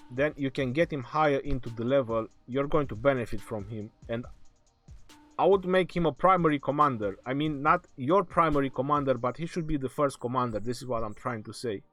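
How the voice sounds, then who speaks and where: A man hosting a live game stream, reading viewers' questions aloud and answering them casually, his voice going hoarse. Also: medium pitch, normal speed, a bit bright. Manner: reads viewer questions aloud then answers, laughs often. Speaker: game livestream host. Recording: faint background music.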